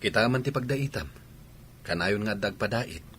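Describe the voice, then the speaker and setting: Man as reassuring patient husband, lowish voice, calm, Filipino accent, radio drama, noisy background. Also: moderate pace, natural voice. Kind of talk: Ilocano radio drama acting.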